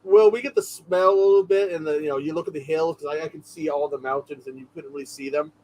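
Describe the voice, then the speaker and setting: A man's voice: fairly high pitch, quick pace, rough recording. A man from the US West Coast chatting casually on a watch party stream, telling a story and acting out his reactions. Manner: animated storyteller, acts out reactions. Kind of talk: casual chat.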